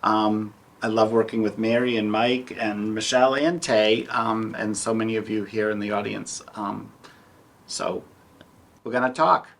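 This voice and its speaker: A man's voice: on the low side, level tone, measured pace, fairly bright tone. A man talking casually as a panelist at a community theater discussion.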